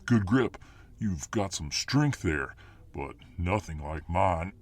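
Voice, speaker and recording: A man's voice: deep voice, expressive, slow, darkish tone, exaggerated voice. A man playing a huge, confident but modest alpha fireman hero in a casual, self-assured ASMR roleplay. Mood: friendly confidence. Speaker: voice actor in audio roleplay.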